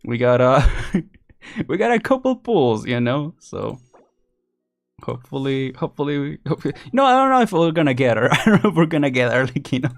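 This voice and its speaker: A man chatting casually and rambling as he thinks out loud on a live game stream. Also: a little high, very animated, brisk, gravelly, faint music behind.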